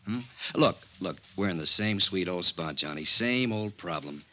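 An actor playing a brisk, sardonic, chummy American insurance adjuster in a 1950s radio drama, speaking emphatically. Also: male voice, deep voice, lively intonation, pushed and bright, slight background noise. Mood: chummy, exasperated.

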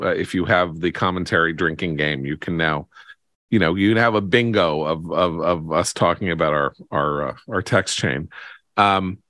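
Podcast host, American male, very deep voice, emphatic and halting political commentator, dry recording. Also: fairly bright tone, no echo.

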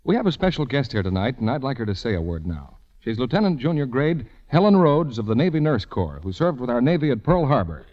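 A man's voice: medium pitch, sing-song, fairly quick. A man working as a radio announcer in scripted 1940s broadcast style. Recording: muffled, noisy old radio with long reverb.

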